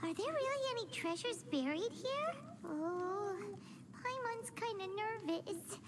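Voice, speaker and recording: A woman voicing a small, childlike, nervous fairy companion in a video game, with a squeaky voice. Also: lively intonation, unhurried, very noisy. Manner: speaks of self in third person. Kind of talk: video game character acting. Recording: loud game music behind.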